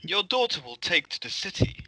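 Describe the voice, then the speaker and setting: Man reading as a bright-voiced, condescending queen. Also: sits high, sing-song, moderate pace, slight background noise, exaggerated voice. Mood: cool, condescending. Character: cool, condescending queen at a royal feast. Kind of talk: amateur script table read.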